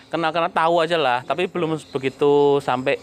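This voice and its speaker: A man hosting an online interview in casual chat, talking in a lively way and often rising in surprise. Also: a little high, brisk. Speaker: YouTube host interviewing a guest. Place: on site at a home cracker business.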